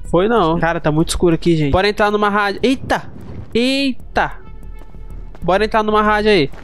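A man's voice: sits high, brisk, a bit bright, faint music behind. A man with a Brazilian accent in slangy, casual chat with friends during an online roleplay game. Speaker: gamer in a group roleplay session.

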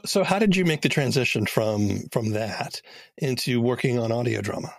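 Male podcast host, mid-pitched and gravelly, easy conversational questions, very dry sound. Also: expressive, moderate pace, dry recording. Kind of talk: conversational interviewing.

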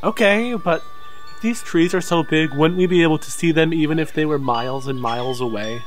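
A man's voice: fairly high pitch, fairly quick, soft background music, lightly acted. Brash young alien fanatic played by a man. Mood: excited. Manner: brash, argumentative. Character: cocky, excitable young male alien fanatic. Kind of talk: audio drama acting.

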